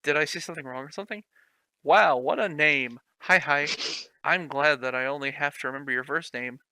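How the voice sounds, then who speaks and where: A man's voice: fairly high pitch, a little fast, sharp tone, no room sound. A man reading a clumsy fan story aloud, mocking it with sarcastic, swearing commentary. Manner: sarcastic, swears, laughs at the text.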